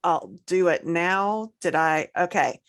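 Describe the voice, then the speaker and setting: Woman with a low voice, casual podcast chat, emphatic storyteller, super dry sound. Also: lively intonation, takes it slowly, dry recording. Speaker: podcast co-host. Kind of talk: casual chat, recounting film examples.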